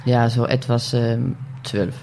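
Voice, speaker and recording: A Dutch woman in her forties with a Dutch accent, a podcast guest speaking halting German, searching for words with many fillers. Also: very deep voice, steady tone, gravelly, mellow, a little hiss or hum. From Netherlands. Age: 40s. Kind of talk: casual interview chat.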